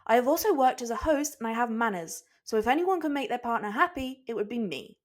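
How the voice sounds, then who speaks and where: A woman's voice: fairly high pitch, lively intonation, fast talker, voice pushed up, natural voice. A woman voicing a proud, dramatic male cook who fancies himself a romantic, in a showy, mocking manner. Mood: boastful.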